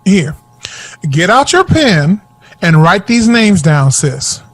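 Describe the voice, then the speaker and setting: A young would-be jazz vocalist in a present-day audio drama. His voice sits very high for a man, and he comes across as sassy and a little campy, with self-doubt underneath. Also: sing-song, slow pace, exaggerated voice. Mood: sassy, defensive. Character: sassy, insecure young male aspiring jazz singer.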